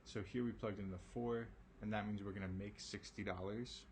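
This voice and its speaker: A man with a low, raspy voice works through an algebra lesson, tired from the late hour. He keeps it casual and reassuring, checking in with lots of ums and okays. A faint noise sits behind him. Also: fairly even intonation, a little fast, darkish tone. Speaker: math teacher. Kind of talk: recorded math lesson.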